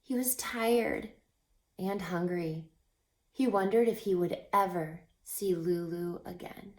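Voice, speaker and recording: A woman teacher reading a children's storybook aloud in an expressive, teacherly way, pausing to put questions to young listeners. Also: lowish voice. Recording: echoey, roomy sound.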